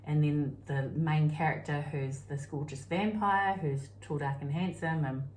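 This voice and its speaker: A woman's voice: low-pitched, unhurried, a little hiss or hum. Kiwi woman telling stories, echoey room. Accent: New Zealand. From New Zealand. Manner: animated storyteller, laughs and exclaims often. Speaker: podcast co-host. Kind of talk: casual chat.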